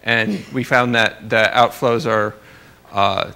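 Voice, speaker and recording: A man with a bright, forceful voice and frequent vocal fry, presenting research in an academic lecture. His pitch sits in an ordinary male range. He speaks haltingly, often rewording mid-sentence. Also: steady tone. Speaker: astrophysics researcher presenting at a conference. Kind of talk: academic lecture talk with slides.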